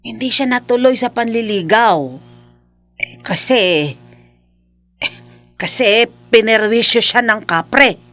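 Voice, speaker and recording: A woman's voice: on the high side, slow, pauses often, fairly bright tone. An actress playing a worried, protective village mother in a radio drama, earnest and hesitant. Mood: earnest, confiding. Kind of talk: Filipino radio drama acting. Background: faint music.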